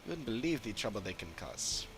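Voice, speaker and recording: A man's voice: fairly low pitch, very animated, brisk. A man voicing a friendly, businesslike pest-control cleaner in video game dialogue, explaining things with light animation. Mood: friendly, explanatory. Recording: game music behind the voice.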